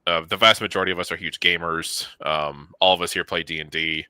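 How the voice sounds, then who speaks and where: A man's voice: low-pitched, fairly even intonation, brisk, pushed and bright, clean tone, no echo. A man in his thirties chatting casually in an interview as a studio co-founder, with an informal, rambling, self-effacing way of talking. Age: 30s.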